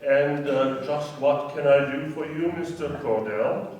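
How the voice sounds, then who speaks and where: Man playing formal, slow-spoken dealer, very echoey. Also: on the high side, a little mellow, recorded from a distance, lightly acted. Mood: courteous, reserved. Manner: formal, measured, courteous. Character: polite, cautious, formal gem dealer, reserved businessman. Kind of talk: radio play acting. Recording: very echoey room, faint noise, off mic.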